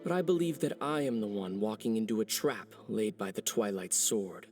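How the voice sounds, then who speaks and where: A man voicing a composed, calculating villain in a video game, formal and deliberate. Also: on the low side, a little mellow, soft background music, lightly acted. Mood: coolly probing.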